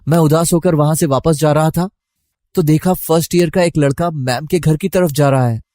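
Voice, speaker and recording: Young male narrator for scripted first-person story, dark mellow tone, slightly high pitch, very dry recording. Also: steady tone, moderate pace, dry recording. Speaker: narrator playing a college student.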